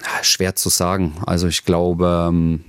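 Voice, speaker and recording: A man in his thirties from Germany, a football player answering interview questions in a relaxed, hesitant way with frequent fillers. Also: deep voice, unhurried, smooth, dry recording. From Germany, near Nuremberg. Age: 30s.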